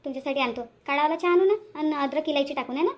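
Woman playing caring bhabhi in a cartoon, varhadi accent, high quick voice, always advising. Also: natural voice. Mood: reassuring. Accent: Varhadi Marathi, Vidarbha. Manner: coaxing, advising. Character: caring, dutiful sister-in-law in a household. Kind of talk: amateur cartoon voice-over.

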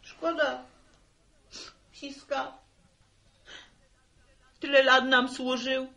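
A woman acting a fretful, warm-hearted farm mother in a 1960s Polish radio serial, full of exclamations and laments. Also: sits high, flat delivery, drawn-out words, gravelly, full character voice. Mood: lamenting.

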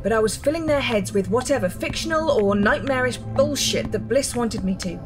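A woman's voice: mid-range voice, close to an everyday voice. A woman playing an earnest, disillusioned rebel who explains things emphatically in a radio drama, over music. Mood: bitter, intense. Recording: music bed under the voice.

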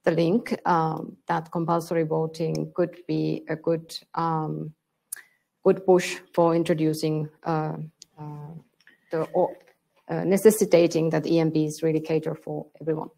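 A woman with a Finnish accent speaks on a conference stage, working through her answer slowly with many fillers. Her voice sits a little low and has a gravelly fry to it. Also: level tone, warm tone, low-quality recording. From Finland. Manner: thoughtful, searching for words with many fillers. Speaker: panelist on elections. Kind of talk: panel discussion answer. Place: conference room with a panel on stage.

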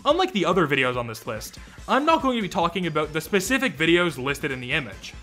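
A young man delivers sarcastic commentary in a bright, highly animated voice. He sounds exasperated and snarky, swears casually, and has faint music underneath. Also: fast talker. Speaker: YouTube commentator. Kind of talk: sarcastic video commentary with ranking verdicts.

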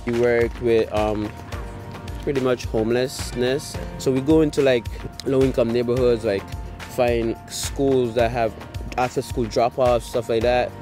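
A man from Jamaica who coaches soccer speaks in a relaxed, informal way. Clear music plays beneath his voice. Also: medium pitch, a little slow. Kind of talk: interview.

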